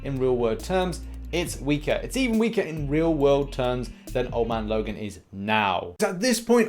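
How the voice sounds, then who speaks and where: A man's voice: medium pitch, a little mellow. A man hosting a gaming news show, giving scripted commentary in an emphatic, informal presenter style. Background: music.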